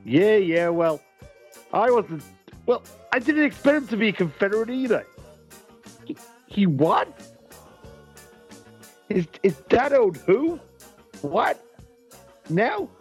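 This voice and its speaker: A man's voice: sits high, very animated, takes it slowly, long pauses, slightly rough, faint music behind, heavily acted. A man playing a flustered, exasperated wrestling call-in show host in a comedic audio play, politely weary and stammering.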